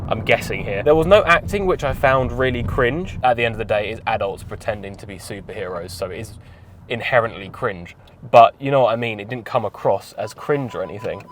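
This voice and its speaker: Rambling guy reviewing in a car. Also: medium pitch. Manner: rambling, offhand, wry asides. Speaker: film reviewer. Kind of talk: casual spoken review. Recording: road and traffic noise. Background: clear car and street noise.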